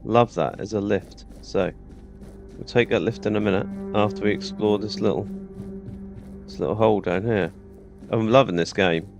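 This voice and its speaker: A man's voice: on the low side, moderate pace, vocal fry, music in the background. A British man giving casual gameplay commentary, chatting and muttering to himself as he plays. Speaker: gaming channel host.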